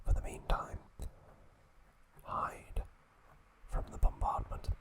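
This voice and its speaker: A man hosting ASMR, whispering a recap in short, hushed phrases with pauses between them. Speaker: ASMR video host.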